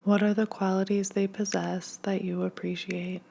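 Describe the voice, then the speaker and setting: A woman leading a scripted guided meditation in a gentle, hushed, soothing manner, leaving long pauses. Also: medium pitch, flat delivery, vocal fry, slightly rough.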